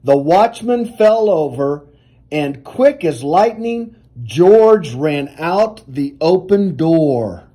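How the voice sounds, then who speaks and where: A man reading a children's picture book aloud in an animated, deliberate storytelling manner. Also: a little high.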